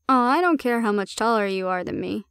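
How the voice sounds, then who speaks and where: A woman's voice: medium pitch, a bit bright, smooth, no room sound. A woman plays a bold female handler in an audio drama, recorded dry and close. She is sardonic and blunt, teasing but assertive, and sounds completely unintimidated. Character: bold, cocky non-human female handler, sardonic and unafraid. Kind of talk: audio roleplay acting.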